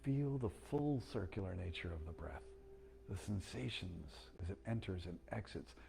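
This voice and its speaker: A man guiding a slow breathing meditation, speaking calmly and gently with long pauses. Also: deep voice, vocal fry. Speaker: meditation guide.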